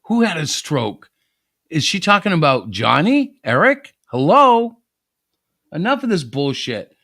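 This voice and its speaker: A man's voice: a little high, very animated, unhurried, a bit bright, no room sound, clean studio sound, heavily acted. A man playing a defensive, foul-mouthed screenwriter who rants indignantly in a dramatic story reading. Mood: indignant, bewildered. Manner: ranting, sweary, indignant. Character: defensive, foul-mouthed hotshot male screenwriter, easily outraged.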